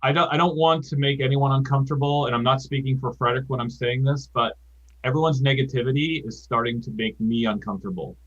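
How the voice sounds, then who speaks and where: A man's voice: mid-range voice, steady tone, fast talker, highs cut off. A man improv performer speaking hesitantly and stammering in a comedy scene over a video call.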